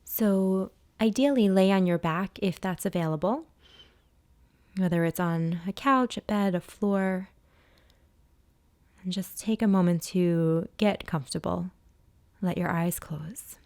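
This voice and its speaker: A woman leading a guided body scan meditation as a teacher, speaking in a soothing, hushed way with long pauses. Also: lowish voice, dry recording, clean studio sound.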